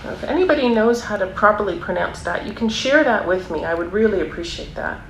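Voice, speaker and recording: A woman's voice: medium pitch, lively intonation, fast talker, a bit bright. Woman reading to children in an echoey room. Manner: encouraging, explains and asks questions to young listeners. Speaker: storyteller reading a children's picture book aloud. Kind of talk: read-aloud for young children with chatty asides. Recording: roomy echo, clear background noise.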